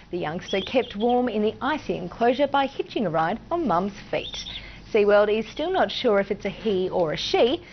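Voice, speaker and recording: A woman's voice: medium pitch, lo-fi sound, a little hiss or hum. An Australian woman presenting news and weather in a scripted bulletin style with some light banter.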